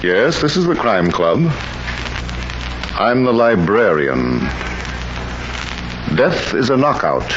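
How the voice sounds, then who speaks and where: An actor playing a suave librarian who hosts and narrates a 1940s radio crime drama. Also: male voice, fairly low pitch, big pitch swings, slow, long pauses. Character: calm male librarian host of a crime library. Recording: dull lo-fi old radio transcription with loud hiss.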